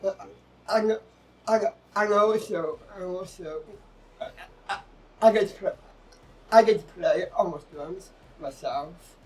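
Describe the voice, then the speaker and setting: A man speaking casually in an interview, haltingly, often starting a phrase over before finishing it. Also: high voice, a little mellow. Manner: halting, repeats phrase openings. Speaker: actor and drummer in a stage play being interviewed. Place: outdoors on a sea front. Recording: distant mic outdoors, faint music behind.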